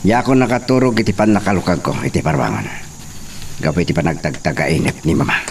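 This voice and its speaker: A man acting as an excitable, bossy hacienda man in a radio drama, with commanding delivery. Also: low-pitched, fast, far from the mic, a little hiss or hum. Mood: grumbling, bossy.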